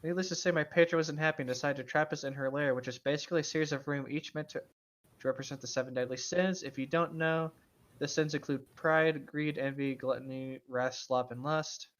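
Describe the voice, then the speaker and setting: A man's voice: a little high, fairly even intonation, fairly quick, a bit bright. A man casually reading stories aloud and bantering as a co-host, blunt and joking, on a lo-fi recording. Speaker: co-host reading online tabletop gaming horror stories. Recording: dull, lo-fi microphone sound.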